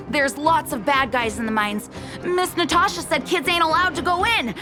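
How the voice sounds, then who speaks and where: A woman voicing a bossy, excitable young boy in a kids' gang, theatrical and self-important, for a video game. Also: high-pitched, moderate pace, over music, lightly acted. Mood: worried, urgent. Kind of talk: animated video game voice acting.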